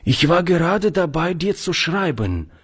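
A man's voice: a little high, sing-song, measured pace, breathy edge, pitched above the performer's own voice, full character voice. A man doing a tremulous doting old grandfather. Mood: tender. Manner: earnest, gentle and tremulous.

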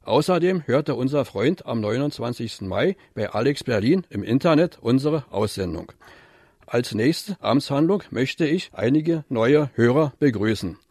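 A man's voice: mid-range voice, expressive, measured pace, a little mellow, slightly rough, no room sound, studio quality. Formal man reading radio listener mail.

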